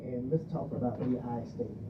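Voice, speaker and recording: A middle-aged woman hosting a radio discussion on marriage, reading from a handout and laughing between points. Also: brisk. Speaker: radio show host. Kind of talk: leading a group discussion on marriage. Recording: distant muffled recording with rumble.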